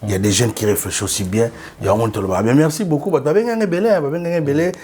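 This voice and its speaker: Husky Congolese man, animated talk. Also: mid-range voice, very fast. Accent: Congolese French. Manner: animated, repetitive, switches between French and Lingala. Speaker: guest. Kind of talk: casual interview talk. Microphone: studio.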